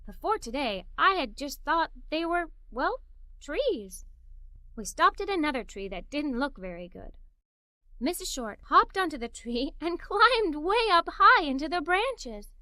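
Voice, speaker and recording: A woman reading a children's story in an expressive, scripted narrating style. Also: sits high, measured pace, no echo. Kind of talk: scripted read-aloud story narration for kids.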